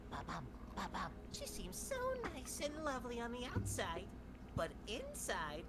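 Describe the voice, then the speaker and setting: A woman's voice: fairly high pitch, noisy background. Woman voicing a gleefully cruel, wildly swooping mascot bear. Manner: theatrical, taunting, sing-song. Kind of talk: fan voice acting of a video game.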